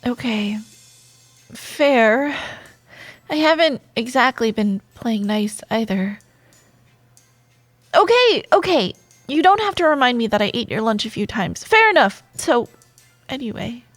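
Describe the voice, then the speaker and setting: A woman acts out a bantering female office rival with a soft, admiring side, for a romantic audio roleplay. Her delivery is slow and teasing, full of expressive pauses. The voice is close and dry over faint music. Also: a little high, dry recording. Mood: grudging, playful banter.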